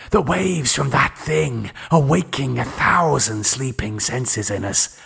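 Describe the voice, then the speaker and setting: A man's voice: mid-range voice, gravelly, fairly bright tone, slightly rough, full character voice. A man voicing a fanatical, gloating mad scientist in a horror audiobook, with a theatrical, sinister manner. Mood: gloating, intense. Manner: theatrical, drops into whispers, sinister chuckling. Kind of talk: dramatic character acting in horror audiobook.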